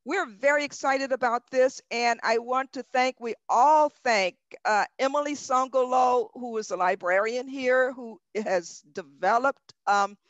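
A woman's voice: on the high side, measured pace, few pauses, no echo, muffled recording. An older woman moderating a video conference panel through a headset mic, speaking hesitantly with many fillers. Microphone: headset microphone on a video call.

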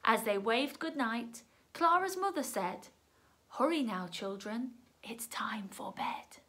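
A woman's voice: a little high, lively intonation, slow, sharp tone. A middle-aged woman reading a rhyming children's storybook aloud at home. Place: indoors at home.

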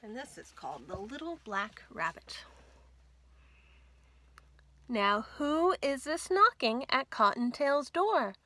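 A woman in her thirties reads aloud for young children. Her voice sits a little high and moves slowly, with expressive storytelling phrasing. The sound is dry, recorded in a small room at home. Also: no room sound. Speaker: library storytime host. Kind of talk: children's picture-book read-aloud.

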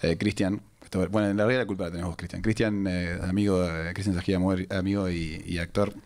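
A man's voice: deep voice, fairly even intonation, fast talker, darkish tone. A Uruguayan man, rambling through a self-deprecating anecdote at a cinema press conference, speaking into a stand mic. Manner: rambling, self-deprecating storyteller with many restarts. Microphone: handheld mic on a stand.

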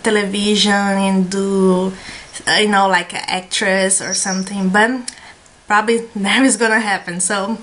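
A woman's voice: medium pitch, a bit bright. A woman in her thirties with a Brazilian Portuguese accent, chatting casually to a webcam at home with many fillers. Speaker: beauty vlogger. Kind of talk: casual chat. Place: small room at home, indoors. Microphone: webcam at desk.

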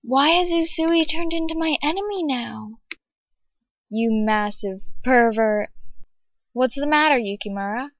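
Casting: woman as flustered, indignant anime teen girl, high pitch, dull recording. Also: measured pace, no echo. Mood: indignant, confused. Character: feisty, flustered teenage anime maid girl.

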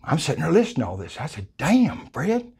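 A man's voice: on the high side, huge pitch swings, fairly quick, gravelly, a little mellow, husky. An older man with a South Texas accent telling a hunting story in a folksy, colorful way, recorded on a lapel mic in a small room. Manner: folksy, colorful, acts out quoted voices. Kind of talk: casual storytelling.

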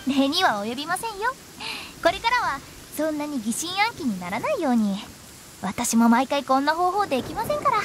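A woman's voice: high-pitched, sing-song, moderate pace, a bit bright, slight background noise. A woman playing a cheeky, theatrical young woman who loves pranks, in anime game style. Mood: breezy. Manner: playful, theatrical, teasing.

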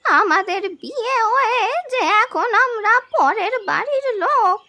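Woman playing a very shrill, scolding aunt. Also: expressive, measured pace, sharp tone, dry recording, full character voice. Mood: teasing complaint. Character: outspoken, high-voiced married aunt, teasingly scolding. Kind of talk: cartoon family drama acting.

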